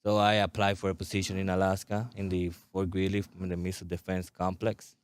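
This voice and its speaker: A man's voice: deep voice, monotone, a little fast, clear, resonant tone. A young man from Puerto Rico, an army recruiter, chatting eagerly as an interview guest with loose tag questions. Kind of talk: casual interview.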